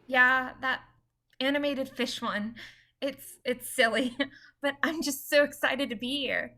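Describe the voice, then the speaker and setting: Young starstruck indie director girl, done by a woman, bright high voice, gushy and modest, stage reading. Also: fairly even intonation, unhurried, full character voice. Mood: starstruck, eager. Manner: gushing, hesitant. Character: starstruck young female short-film director, gushing and modest.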